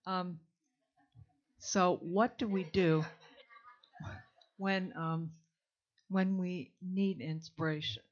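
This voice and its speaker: Low-voiced older woman speaking candidly. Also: fairly even intonation, extremely slow, pauses often. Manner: candid, chatty and warm-humored, with soft laughs. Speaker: invited speaker. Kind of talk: informal talk to a live audience. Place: hall with a live audience. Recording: dull lo-fi sound.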